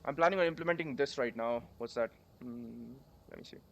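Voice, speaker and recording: A man's voice: medium pitch, brisk, gravelly, muffled recording. Man with a sore throat, chatty. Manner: rambling, chatty, many fillers. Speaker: coding livestreamer. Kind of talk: casual coding livestream.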